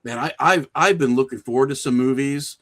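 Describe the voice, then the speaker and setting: A middle-aged man with a mid-range voice talks casually as a guest on a podcast. He tells stories in an animated way and slips into quoted remarks as he goes. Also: medium pitch, a little fast. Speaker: podcast guest, filmmaker and horror creator.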